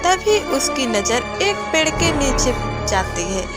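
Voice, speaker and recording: A woman's voice: sits high, big pitch swings, takes it slowly, loud music behind the voice. A woman narrating a scripted children's cartoon story.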